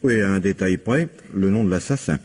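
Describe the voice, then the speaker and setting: An actor playing a skeptical, imperious coroner in a French radio crime drama, dry and authoritative. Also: male voice, sits low, fairly quick, darkish tone, husky. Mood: dryly skeptical. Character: skeptical, imperious male coroner pressing a detective.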